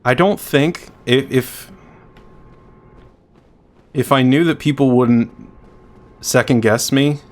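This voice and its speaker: A man with a deep voice, chatting casually and thinking out loud while he streams a video game, swearing freely. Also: slow, pauses often.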